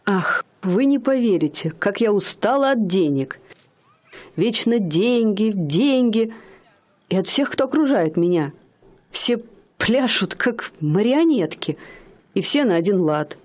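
A woman's voice: mid-range voice, animated, takes it slowly. An actress playing a young woman who poses as a bored rich lady in a radio play, speaking in a haughty, mannered way. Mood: languid. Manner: haughty, mannered, languid speech.

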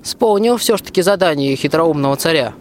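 A hearty, bold soldier husband played by a young man declaims folk verse for a radio play. The voice sits fairly high, over faint background noise. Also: expressive, quick pace, close to an everyday voice. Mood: tender, proud. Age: young adult. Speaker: school student actor.

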